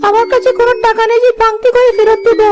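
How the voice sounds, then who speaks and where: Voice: very high-pitched, little pitch movement, fast talker, background noise. A performer voicing a young village boy, an earnest, polite and protective brother in a moral-story cartoon. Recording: loud music bed under voice.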